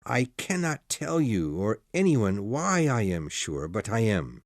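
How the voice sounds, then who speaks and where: A man's voice: lowish voice, sing-song, a little slow, dry recording, clean studio sound. A man playing a pale, shaken doctor who speaks in a thin, weak voice, anxious and insistent. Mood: agitated. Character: pale shaken middle-aged male doctor, nervous. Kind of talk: audiobook dialogue.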